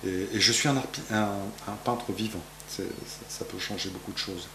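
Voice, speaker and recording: A man's voice: fairly low pitch, normal speed, echoey room, noisy background. A man in his fifties from France, a painter answering interview questions in his gallery, rambling with many fillers and restarts. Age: 50s. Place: art gallery, indoors.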